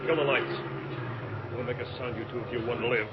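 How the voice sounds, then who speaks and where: An actor playing a tough, commanding man giving terse, gruff orders in a 1950s radio drama. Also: mid-range voice, fairly even intonation, fast, over loud music, close to an everyday voice. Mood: menacing. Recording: muffled, very noisy old radio recording.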